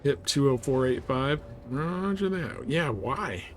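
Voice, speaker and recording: A man's voice: mid-range voice, expressive, slow pace, slight background noise. Casual man streaming a game. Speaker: live streamer. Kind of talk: casual gameplay commentary to live chat. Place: live game stream, indoors.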